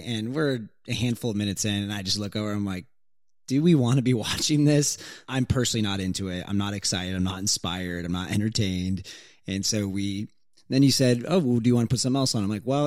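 A man co-hosting a casual couple's podcast, talking in a relaxed, reflective way, swearing now and then and acting out what they said to each other. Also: medium pitch, dry recording, studio quality. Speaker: podcast co-host.

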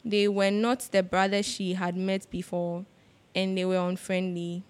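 Woman, medium-pitched voice, literary narration, scripted reading of a story. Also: little pitch movement, measured pace. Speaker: reader.

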